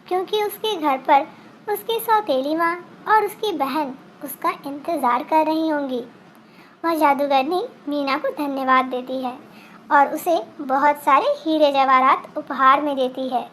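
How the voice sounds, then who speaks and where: A woman's voice: high-pitched, a bit bright, a little hiss or hum. A woman reading a scripted fairy tale for children as a storyteller.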